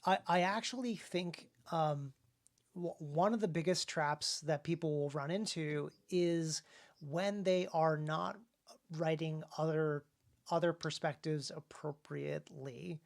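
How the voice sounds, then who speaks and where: A man in casual conversation as a podcast co-host. He explains things in a halting way, often circling back to rephrase before landing his point. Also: a little high, creaky.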